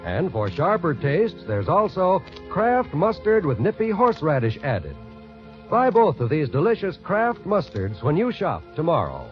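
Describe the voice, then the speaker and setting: A male announcer reading a scripted 1940s radio commercial in a bright, upbeat selling tone. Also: fairly high pitch, wildly swooping pitch, a little slow, over music. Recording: dull 1940s radio broadcast.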